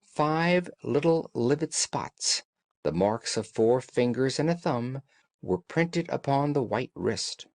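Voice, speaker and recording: A man reading classic fiction as an audiobook narrator, in a measured, dramatic storytelling manner. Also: medium pitch, animated, darkish tone, dry recording.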